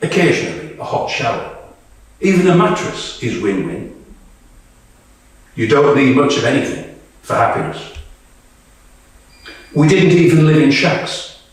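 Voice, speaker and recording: Guy reading as tired blunt female soldier, dry humour, takes it slow, super echoey room. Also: mid-range voice, lively intonation, lightly acted. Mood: wry, weary. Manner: wry, conversational, profane. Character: Tonya, weary, blunt female Ukrainian soldier. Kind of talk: dramatic monologue read to a live audience. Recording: very echoey room, distant microphone.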